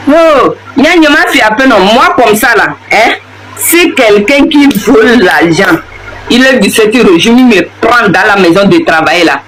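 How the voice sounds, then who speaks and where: A man with a Togolese French accent, his voice sitting unusually high, scolds his listeners in a heated, repetitive political rant. The recording is noisy, with steady background ambience under his words. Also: a little slow. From Togo. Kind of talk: impassioned political rant to camera.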